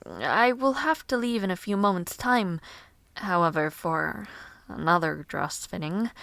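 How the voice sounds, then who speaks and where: A woman voicing a gentle young noblewoman who looks after a bedridden stranger, speaking formally and politely, with shy laughs. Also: mid-range voice, vocal fry, no echo, exaggerated voice. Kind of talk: audio roleplay addressed to the listener.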